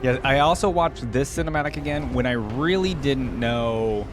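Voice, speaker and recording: A man giving casual, musing commentary as a reaction video host, often trailing off quietly. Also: medium pitch, moderate pace, background noise. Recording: voice over loud game cinematic music.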